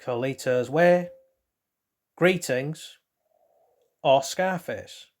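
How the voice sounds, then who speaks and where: A man's voice: medium pitch, drawn-out words. A man hosting a casual trivia quiz, reading out questions and then rambling loosely through hesitant asides about the answers. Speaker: quiz host.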